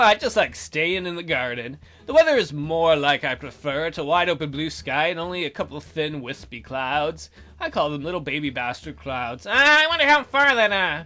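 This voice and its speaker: A man's voice: a little high, sharp tone. A man reading a game's story aloud and adding sarcastic, sweary asides as commentary. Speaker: let's player. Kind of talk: joking game commentary with read-aloud narration.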